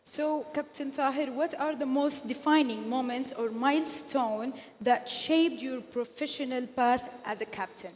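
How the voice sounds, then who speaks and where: High-voiced woman hosting formally. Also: unhurried, few pauses, a little mellow. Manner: formal, reads prepared questions. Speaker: host and moderator. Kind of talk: scripted stage introduction and questions. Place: large event hall. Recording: long hall reverb.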